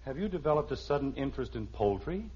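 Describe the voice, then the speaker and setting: A man's voice: medium pitch, sing-song, fast talker, a little mellow, natural voice. An American actor playing an exasperated, sarcastic suburban father and husband in a 1950s radio sitcom, dry and incredulous. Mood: suspicious, dry. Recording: dull, slightly noisy old radio recording.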